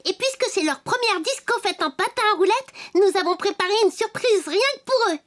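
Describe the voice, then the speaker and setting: A woman's voice: very high-pitched, expressive, a little fast. A woman voicing a cheerful, helpful little wooden toy boy in a children's cartoon, speaking eagerly over music. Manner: bright, eager, exclamatory. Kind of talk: animated children's cartoon dubbing. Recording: loud music behind the voice.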